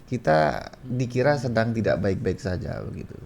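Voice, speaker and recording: A man from Madura, speaking as a talk show guest and pausing to search for words. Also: medium pitch, animated, vocal fry, darkish tone, slight background noise. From Madura, Indonesia. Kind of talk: conversational personal anecdote.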